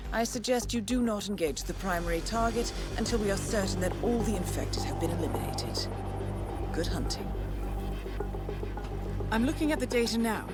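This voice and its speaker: An actress playing a composed intelligence handler in a video game, giving formal, measured and precise mission briefings to an assassin. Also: female voice, mid-range voice, natural voice. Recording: music playing loudly behind the voice.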